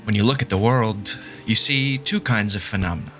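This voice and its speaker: A sharp-edged, bright-toned mathematician who lectures with insistent patience, as a man acts him out. His voice sits fairly low but cuts through, and he stresses his points firmly, with soft music underneath. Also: normal speed, lightly acted. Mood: lecturing. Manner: explains patiently, emphatic and insistent. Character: sardonic male mathematician, confident lecturer. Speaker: fan voice actor. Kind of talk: fan audio drama acting.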